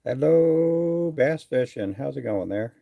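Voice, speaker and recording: A man's voice: mid-range voice, lively intonation, slow, gravelly, warm tone, no echo, clean studio sound. A middle-aged man from Texas chatting casually on a fishing livestream, answering viewer comments in an easygoing, rambling way with many fillers. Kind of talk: casual live chat reading viewer comments.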